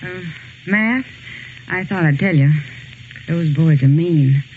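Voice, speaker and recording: A woman's voice: low voice, slow pace. A woman with an American accent playing a friendly, frank, knowing frontier woman in a 1950s radio western. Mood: concerned. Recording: tinny noisy 1950s radio recording.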